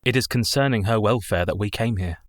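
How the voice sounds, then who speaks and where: An actor playing an earnest, steadfast young Victorian husband in a radio play, formal and sincere. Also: male voice, fairly low pitch, a little fast, no echo, studio quality, close to an everyday voice. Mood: firm, earnest.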